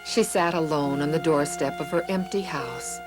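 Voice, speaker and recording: A woman narrating scripted Bible stories for children in an expressive, deliberate storytelling manner. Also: low-pitched, poor audio. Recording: music behind the reading. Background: background music.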